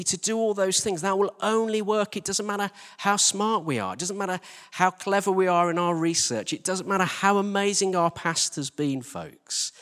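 A man's voice: high voice, a bit bright. A British man giving an informal church sermon in an earnest, conversational manner, addressing his listeners directly as a preacher. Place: large church hall, live service. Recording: reverberant hall.

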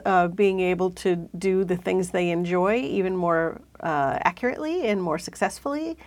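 A woman from New England hosting an interview, recapping points in a friendly way. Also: lowish voice. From New England, USA. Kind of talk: podcast interview.

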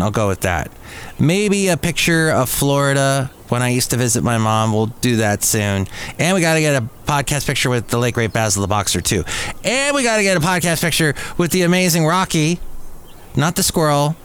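A man's voice: medium pitch, fairly bright tone. A middle-aged American man hosting a podcast alone, talking casually in a rambling, jokey way with self-mocking asides. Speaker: podcast host. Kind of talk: casual solo podcast monologue. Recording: clearly audible background noise.

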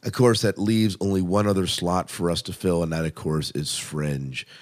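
Very low-voiced male podcast co-host. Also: fairly even intonation, normal speed. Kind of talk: casual review chat about TV premieres.

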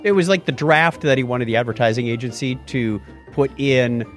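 A man's voice: medium pitch, brisk, faint music behind. A man hosting a podcast, chatting casually and playfully about tech history. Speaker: podcast host.

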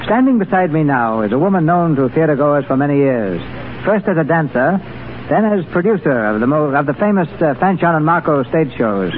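Male radio host, 1930s: formal scripted introductions, slightly high pitch, narrow-band sound with hiss and noise. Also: expressive, a little fast. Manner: formal, showman-like. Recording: noisy muffled 1930s radio recording.

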